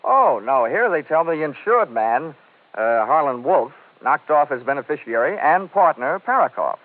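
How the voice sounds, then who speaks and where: An actor playing a wry, tough, cocky American insurance investigator in a vintage radio drama, with clipped, sardonic delivery. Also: male voice, a little high, very animated, moderate pace. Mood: wry, businesslike. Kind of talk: 1940s-50s radio detective acting, first-person narration. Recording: muffled vintage radio recording.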